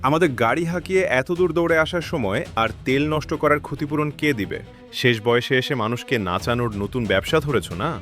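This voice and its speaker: পুরুষের কণ্ঠে ধূর্ত, লোভী ছোট ছেলে, ওঠানামা করা স্বর. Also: male voice, medium pitch, expressive, fast talker, a bit bright, natural voice. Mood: demanding, scornful. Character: sly, greedy, opportunistic younger son. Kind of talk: melodramatic cartoon dubbing. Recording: music behind the voice.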